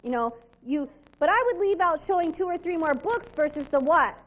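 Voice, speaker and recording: A middle-aged woman, giving an upbeat, precise and animated sales training talk at a convention. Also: very high voice, moderate pace.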